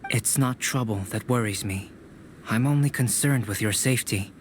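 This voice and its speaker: An actor playing a composed young male alchemist mentor who speaks in a calm, measured way. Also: medium pitch, slight background noise, close to an everyday voice. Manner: calm, measured, quietly reproachful. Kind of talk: video game voice acting.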